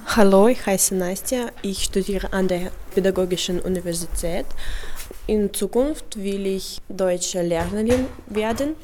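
A young woman, a student introducing herself with careful, halting phrasing. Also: mid-range voice, dark tone, a little hiss or hum. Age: young adult. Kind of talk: self-introduction.

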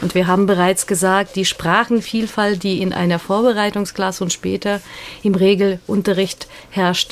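A woman guest expert explaining her field in a measured way, with occasional hesitations. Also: on the low side. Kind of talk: explanatory discussion.

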